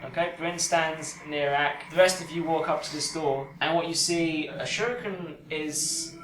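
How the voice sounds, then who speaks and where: A British man in his twenties runs a tabletop game as its narrator. His voice sits slightly high, picked up in an echoey dining room with noticeable background noise. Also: unhurried, fairly bright tone. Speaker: dungeon master. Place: domestic dining room, indoors. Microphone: overhead boom microphone over the table.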